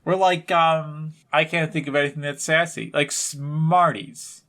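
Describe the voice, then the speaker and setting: A man's voice: a little high, a little slow, a bit bright. A man co-hosting a podcast in casual, jokey banter, rambling off on tangents. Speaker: podcast co-host.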